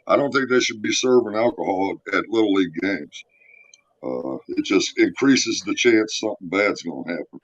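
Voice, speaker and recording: Middle-aged man, deep voice, earnest storyteller; dry, muffled call audio. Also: animated, no room sound. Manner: earnest, storytelling, halting restarts. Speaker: guest giving testimony. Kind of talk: casual chat on a live stream. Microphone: video call. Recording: dry, dull lo-fi stream audio.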